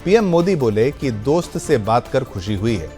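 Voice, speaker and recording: A man's voice: medium pitch, sing-song, moderate pace, dark tone. A man anchoring television news, explaining stories conversationally and often rephrasing points for viewers. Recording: music bed under the voice. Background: background music.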